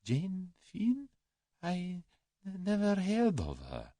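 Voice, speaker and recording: A man voicing a cowardly, haughty aristocrat in an audiobook story, formal and halting. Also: wildly swooping pitch, dry recording, studio quality, heavily acted. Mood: frightened. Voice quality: soft-spoken, high when agitated.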